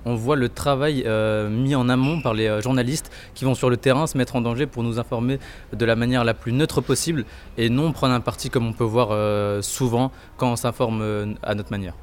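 A man's voice: medium pitch, fairly even intonation, moderate pace. Teenage male juror, earnest, stumbling restarts, faint noise. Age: teens. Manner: earnest, restarts words often. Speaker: student juror. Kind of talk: interview answers.

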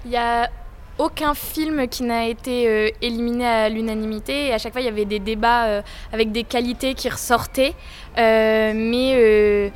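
A woman's voice: on the high side, fairly even intonation, moderate pace, slight background noise. A teenage girl on a student jury, speaking thoughtfully with many fillers. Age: teens. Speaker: student juror.

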